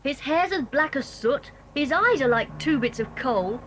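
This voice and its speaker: A woman's voice: high voice, lively intonation, unhurried, fairly bright tone, slight background noise. A woman playing a sensible, confident schoolboy brother who explains things with assurance in a children's audio drama. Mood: assured.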